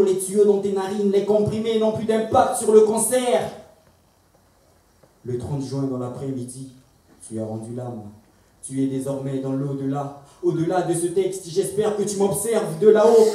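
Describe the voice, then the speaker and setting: A man performs slam poetry, heard from a distance in a very echoey hall. His voice is soft-edged in tone, and his delivery is solemn, rhythmic and impassioned. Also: high-pitched. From Congo. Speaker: slam poet. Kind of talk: dramatic slam poetry recitation on stage. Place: indoor performance venue.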